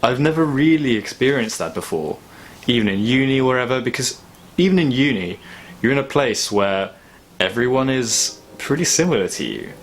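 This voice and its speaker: A young man talking casually and reflectively in a vlog, thoughtful and halting with long pauses. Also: medium pitch, expressive, a little hiss or hum. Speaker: vlogger.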